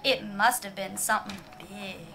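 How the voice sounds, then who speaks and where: A woman's voice: medium pitch, normal speed, gravelly, music in the background, lightly acted. A woman playing a folksy, nosy small-town Southern woman who chats away in a radio drama. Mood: nagging, cheerful. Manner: folksy, chatty.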